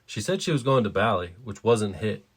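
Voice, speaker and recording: Low-voiced, offhand estranged husband, acted by a man. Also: sing-song, moderate pace, breathy edge, heavily acted. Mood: casual reassurance. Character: reassuring, dismissive estranged husband. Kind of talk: dramatized audio story dialogue.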